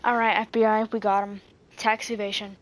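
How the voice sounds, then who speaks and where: A woman's voice: medium pitch, flat delivery, brisk, a little hiss or hum. A woman streamer giving laid-back, joking commentary over a video game. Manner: laid-back, teasing slang. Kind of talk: casual gameplay commentary.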